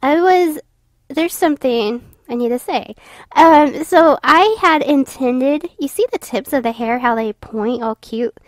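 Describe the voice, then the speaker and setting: A woman's voice: high voice, measured pace, no room sound. Stuffy-sounding young woman chatting over art. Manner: rambling, many fillers and restarts. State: tired, stuffy voice. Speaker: YouTuber narrating her drawing. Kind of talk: casual voice-over commentary.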